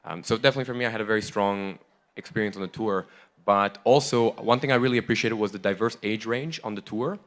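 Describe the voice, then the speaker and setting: A man with a very deep, smooth and resonant voice talks through a handheld mic on a festival stage. He rambles in a chatty, enthusiastic way as he tells a crowd about a tour. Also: fast, fairly bright tone. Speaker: tour member giving a report on stage. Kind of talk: casual on-stage talk to a live audience. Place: large hall at a festival, on stage.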